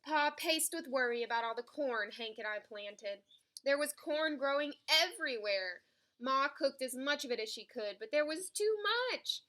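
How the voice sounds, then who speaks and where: A woman reading a children's picture book aloud in an expressive storytelling style. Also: high-pitched, normal speed. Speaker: storybook reader.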